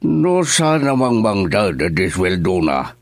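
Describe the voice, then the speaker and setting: A man's voice: fairly low pitch, very animated, takes it slowly, dry recording, heavily acted. An actor playing a worried, stern middle-aged father in a radio drama, talking earnestly and scolding. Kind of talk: Ilocano radio drama acting.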